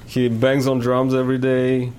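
A man with a Russian accent talks at a middle pitch as a guest in a studio interview. He chats in an easygoing, joking way, close to the microphone. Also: monotone, normal speed, darkish tone, clean tone. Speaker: musician guest. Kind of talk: interview chat.

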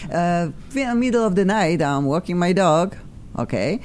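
A woman's voice: on the low side, moderate pace, a little hiss or hum. Effusive woman hosting a chat show. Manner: effusive, excitable, rambling. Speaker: talk show host.

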